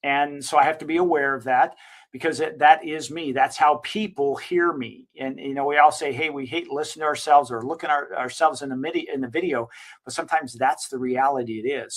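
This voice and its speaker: A man who owns a digital marketing agency, giving an enthusiastic, rambling software walkthrough and correcting himself as he goes. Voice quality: slightly higher-pitched voice. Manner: enthusiastic, recommending. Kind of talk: casual screen-share software walkthrough.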